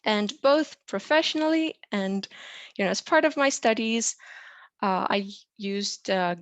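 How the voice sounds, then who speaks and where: A woman's voice: medium pitch, no room sound. Woman explaining coding, dry sound. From Romania. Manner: clear explanatory delivery with frequent "uh" and "um". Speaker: software engineer teaching a lesson. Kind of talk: presenting a tutorial.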